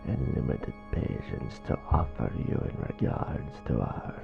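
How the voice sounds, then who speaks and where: A man voicing a cold, menacing necromancer in a fantasy audio roleplay, formal and ominous, with a breathy gravelly rasp. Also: a bass voice, dull audio, exaggerated voice. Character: menacing, cold necromancer, coven envoy to a vampire court.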